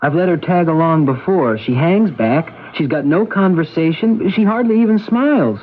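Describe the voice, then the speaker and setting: A man's voice: fairly high pitch, animated, normal speed. A young man playing a blunt but protective farm lad, somebody's brother, in a radio drama. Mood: whining, blunt. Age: young adult. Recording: tinny vintage radio broadcast.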